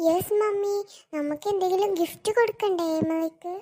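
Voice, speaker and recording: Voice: extremely high pitch, fairly quick, dark tone. A performer voicing a friendly, polite young cartoon schoolgirl, a loyal best friend, in a childish manner. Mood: eager. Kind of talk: scripted cartoon dialogue.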